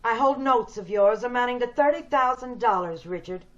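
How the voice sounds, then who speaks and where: A woman's voice: a little high, lively intonation, moderate pace, natural voice. A woman playing a bitter, wealthy, commanding woman in an old-time radio drama. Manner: cold, insistent, commanding. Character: wealthy, determined, bitter ugly woman scheming for a new body. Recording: dull video-call audio.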